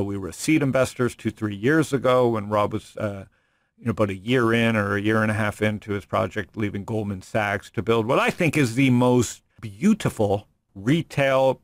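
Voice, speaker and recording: A man's voice: lowish voice, gravelly, no echo. A middle-aged man hosting a casual podcast, rambling and joking irreverently. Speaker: podcast host and venture investor.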